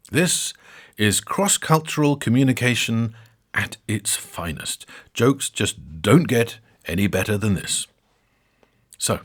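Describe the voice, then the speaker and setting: A man narrating a scripted comic story for a podcast, wry and theatrical with dramatic pauses. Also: mid-range voice.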